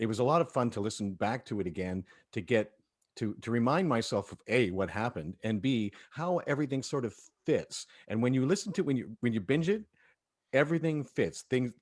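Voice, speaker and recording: A man's voice: lowish voice, no room sound, studio quality. A man who directs and voice-acts, talking casually in a group discussion. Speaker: director and voice actor. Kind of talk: casual chat.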